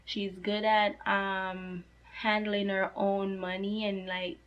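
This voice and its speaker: A woman in her twenties with a slightly hoarse voice, talking earnestly and casually to camera about personal finance, leaning on fillers like "like" and "you know". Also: mid-range voice, level tone, takes it slowly, lo-fi sound. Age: 20s.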